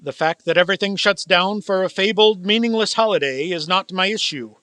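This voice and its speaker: A cold old miser in a radio play, acted by a man, with a high, hard-edged voice in a dry recording. He is formal and condescending, and his words drip with sarcasm. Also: fairly quick, pushed and bright, clean tone, no room sound. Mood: cold, stern. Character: cold, miserly old male business owner, scornful of Christmas. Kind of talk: theatrical radio play acting.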